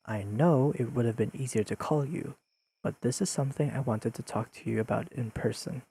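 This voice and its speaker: Mellow, husky deadpan young man, acted. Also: medium pitch, fairly quick, low-quality recording. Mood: mock-stern teasing. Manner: deadpan, precise, formal wording with dry teasing. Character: deadpan, pedantic, secretly fond young friend, male. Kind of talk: intimate scripted audio roleplay addressed to the listener.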